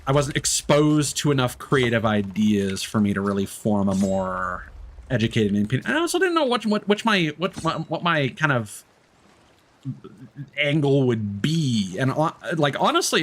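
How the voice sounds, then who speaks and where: A man chatting casually as he livestreams a game, candid and reflective, in a halting, rambling way that often doubles back to correct itself. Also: mid-range voice, very animated, a bit bright, a little hiss or hum. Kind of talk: casual streamer chat while gaming.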